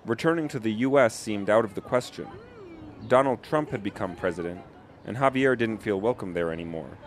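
A man reporting, reading a scripted news narration with measured, even delivery. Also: fairly low pitch.

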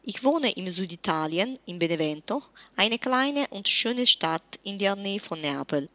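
A woman in her forties from Italy, with an Italian accent and Italian as her first language, giving a careful, measured self-introduction as a German teacher. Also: medium pitch, animated, sharp tone, no echo. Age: 40s. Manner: careful, measured, slightly formal.

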